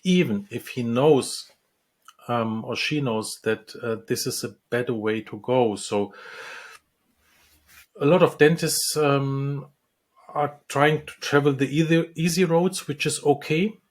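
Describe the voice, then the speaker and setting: Guy, dentist being interviewed, talks slow with lots of ums. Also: mid-range voice. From Czech Republic. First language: Czech. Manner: hesitant, many ums and restarts. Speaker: dentist and lecturer. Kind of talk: interview answers.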